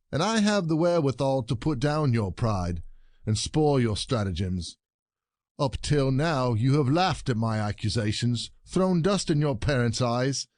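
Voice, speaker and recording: An actor playing a jealous, gloating peasant husband in a classic comedy audio play, sardonic and declamatory. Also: male voice, mid-range voice, darkish tone, no room sound, clean studio sound.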